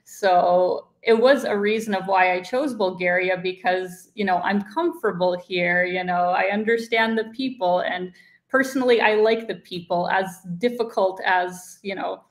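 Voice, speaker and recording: यूक्रेन में जन्मी और कनाडा में पली-बढ़ी एक युवा महिला, कनाडाई लहजे में बोलती है और इंटरव्यू में मेहमान के रूप में आराम से बात करती है, बार-बार "like" और "you know" कहते हुए।. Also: female voice, mid-range voice, moderate pace. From Ukraine, raised in Canada. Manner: chatty, many "like" and "you know" fillers. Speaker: guest. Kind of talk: casual conversational interview.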